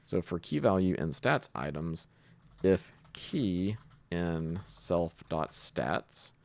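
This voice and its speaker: A man giving a casual screencast coding tutorial, talking haltingly as he thinks aloud while typing. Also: low voice, animated, gravelly, a little mellow. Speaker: programming tutorial host.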